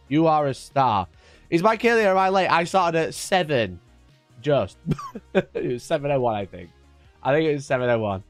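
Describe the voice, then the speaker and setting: A man hosting a casual live stream, energetic and chatty, with a congested voice from a cold. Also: high-pitched, dry recording. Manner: energetic and chatty, repeating his greetings. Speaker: livestream host.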